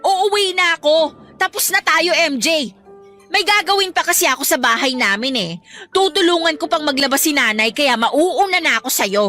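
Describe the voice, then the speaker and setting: An actress playing a curt, exasperated young breadwinner eldest daughter with a Filipino accent in a radio drama. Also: female voice, high-pitched, expressive, normal speed, pushed and bright, soft background music. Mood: cold, final. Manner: curt, scolding. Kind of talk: Tagalog radio drama acting.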